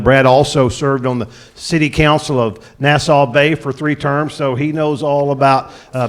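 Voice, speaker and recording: A middle-aged man, moderating a policy panel and introducing each guest with friendly, joking asides to the audience. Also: medium pitch. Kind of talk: event introductions. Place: conference room before an audience.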